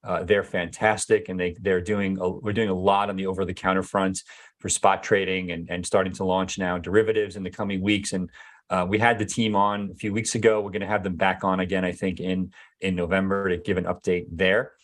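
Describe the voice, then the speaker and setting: A man, a company executive, answering viewer questions in a casual live Q&A, hesitant with many fillers and restarts, his voice going hoarse. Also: low-pitched, flat delivery, a little fast. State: losing his voice.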